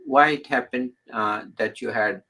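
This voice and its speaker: A man hosting an interview who talks haltingly, restarting his sentences and adding encouraging remarks. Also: mid-range voice, sharp tone. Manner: halting, with many restarts and filler words. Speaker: host.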